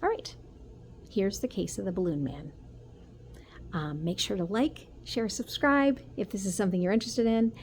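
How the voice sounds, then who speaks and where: Female teacher, mid-pitched, reading to kids expressively, slightly noisy recording. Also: expressive, normal speed, a little mellow. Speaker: teacher reading aloud to children. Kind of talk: storybook read-aloud. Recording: noticeable background noise.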